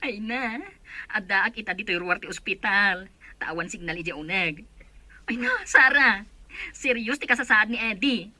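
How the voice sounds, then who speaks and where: A woman's voice: medium pitch, moderate pace, sharp tone, no room sound. A woman acting as an anxious, exclaiming friend fretting over a crisis in an Ilocano audio drama. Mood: worried, distressed.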